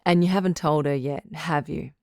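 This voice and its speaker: A woman playing a composed, frank relationship doctor who hosts a radio call-in drama in a measured, counselling way. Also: sits low, steady tone, creaky, a little mellow, no room sound, clean studio sound. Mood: firm, caring. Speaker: radio advice show host.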